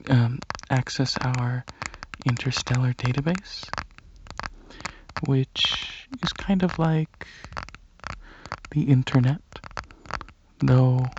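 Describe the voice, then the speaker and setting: A man plays a gentle alien dragon scientist in an ASMR roleplay, speaking softly and tentatively in a slow, flat delivery. He pauses often and slips toward whispers. The audio is dull and lo-fi with a clear hiss. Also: medium pitch. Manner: halting, tentative, many pauses and hesitations. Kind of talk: ASMR roleplay, soft speech drifting into whispers.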